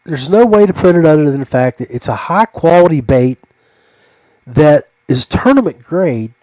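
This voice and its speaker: An older man chats casually as a fishing podcast host. His voice sits a little high for a man, and the sound is dry with very little room. He speaks haltingly and rambles as he goes. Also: lively intonation, warm tone, no echo, poor audio.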